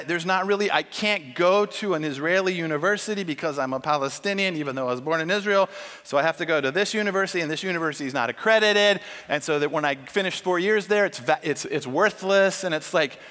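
A middle-aged man preaching on a large church stage, emphatic and animated, acting out quoted lines as he tells a story. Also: sits high. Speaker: conference speaker. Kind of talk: sermon with storytelling. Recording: long hall reverb.

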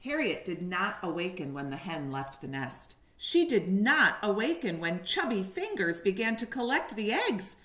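Animated woman reading to children. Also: medium pitch, moderate pace, few pauses. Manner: animated, explaining and questioning for young children. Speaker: teacher reading aloud to children. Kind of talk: expressive picture-book read-aloud. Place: living room, indoors. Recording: echoey room.

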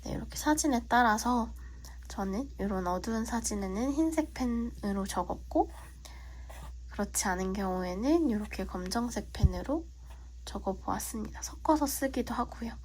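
A young woman with a cold and a husky, slightly whispery voice, politely walking viewers through a planner in a relaxed vlog with frequent fillers. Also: on the high side, normal speed, a little hiss or hum. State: has a cold. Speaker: vlogger. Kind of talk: casual tutorial walkthrough. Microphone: phone.

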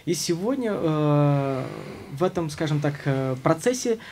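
Halting male talk-show host. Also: on the high side, lively intonation, darkish tone, slight background noise. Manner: halting, frequent filler sounds. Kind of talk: studio interview. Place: studio with logo backdrop. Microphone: studio mic on stand.

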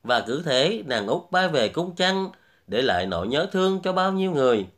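A man's voice: fairly high pitch, very animated, normal speed, fairly bright tone. A man hosting and narrating a fairy tale from a script as a storyteller. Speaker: storyteller, host.

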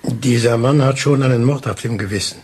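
An actor playing a shrewd police inspector in a radio play, speaking deliberately and theatrically with taunting insinuation. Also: male voice, mid-range voice, darkish tone, lightly acted. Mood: commanding. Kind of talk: German radio play acting.

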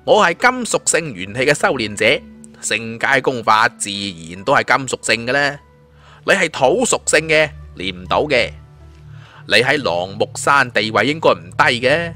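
A man's voice: medium pitch, very animated, moderate pace, pushed and bright, soft background music. A man voicing a confident, shrewd young cultivator in a fantasy audiobook, composed and persuasive. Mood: cool, persuasive. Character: confident, shrewd young male cultivator recruiting bandit followers. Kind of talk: audiobook character voice.

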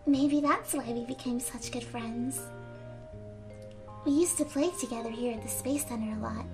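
A young woman voicing a gentle, frail, wistful teenage girl in a video game fan dub, speaking softly and earnestly. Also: on the high side, normal speed, lightly acted. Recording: amateur fan dub over game music. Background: game music.

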